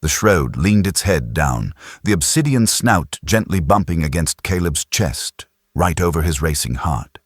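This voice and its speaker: Extremely deep, husky male narrator. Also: animated, a little slow, hardly any pauses, no echo, clean studio sound. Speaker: audiobook narrator. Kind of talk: dramatic scripted narration.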